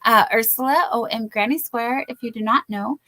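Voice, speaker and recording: A woman's voice: on the high side, moderate pace, bright, forceful tone. A woman hosting a casual crochet live stream from the United States, bubbly and chatty, thanking viewers by name.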